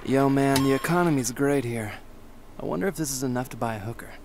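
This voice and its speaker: A man voicing a crude, sardonic young hero in an anime parody, deadpan and easily exasperated. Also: mid-range voice, fast, background noise, close to an everyday voice. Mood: dry, deadpan. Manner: deadpan, foul-mouthed.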